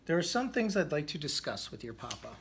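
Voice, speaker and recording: A man voicing a calm, sensible mother bear from a storybook, reasoning in a measured way. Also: fairly high pitch, vocal fry, slight background noise, heavily acted.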